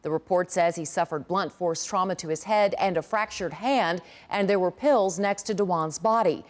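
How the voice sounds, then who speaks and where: A woman's voice: on the low side, moderate pace, a little mellow. An American woman anchoring a television newscast, reading scripted news stories.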